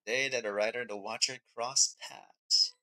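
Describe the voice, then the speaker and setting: A man's voice: mid-range voice, steady tone, normal speed, sharp tone, a little hiss or hum. A man streaming a game, reading story text aloud and adding short comments.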